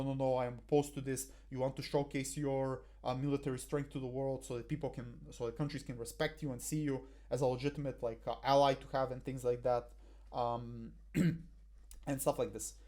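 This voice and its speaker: A young man coaching debaters over his slides, recorded on a headset in a small room. His voice sits at a middle pitch with a warm, mellow tone. He talks in a halting way, full of fillers and restarts. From Bulgaria. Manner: hesitant, many fillers and restarts.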